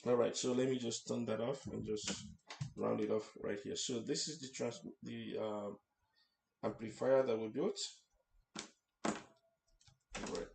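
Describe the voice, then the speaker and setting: A man's voice: medium pitch, fairly even intonation, measured pace, vocal fry, pauses often. A man hosting an informal hands-on electronics tutorial, explaining things step by step and checking in as he goes. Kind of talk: informal hands-on demonstration narration. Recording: roomy echo, faint background noise.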